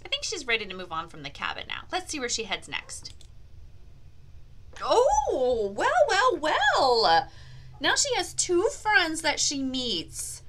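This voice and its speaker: Lady doing a kids lesson, high lively voice, slow pace, asks questions and cheers them on, really playful. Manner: exaggerated, playful, encouraging child-directed delivery with drawn-out key words. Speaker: children's teacher and host of a read-aloud language activity. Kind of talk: interactive lesson for young children, asking questions and praising answers.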